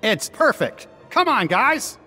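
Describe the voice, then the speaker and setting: A friendly cowboy toy sheriff in a video game. The voice is fairly high and swings wildly, earnest and folksy, taking its time in a reverberant space with faint music. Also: male voice, sing-song, slow, sharp tone, heavily acted. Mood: upbeat. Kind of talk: animated video game acting.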